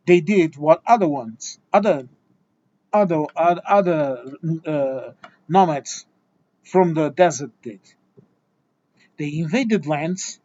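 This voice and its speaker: A man's voice: a little high, a little mellow, dull audio. Exasperated Portuguese man lecturing. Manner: exasperated, lecturing, many restarts and repeated words. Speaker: video essayist. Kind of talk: impassioned opinion monologue.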